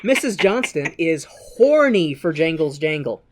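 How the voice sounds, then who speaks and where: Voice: mid-range voice, sing-song, a little slow, slight background noise. A podcast host chatting casually through a movie recap, with crude, profane joking and bits of acted-out dialogue.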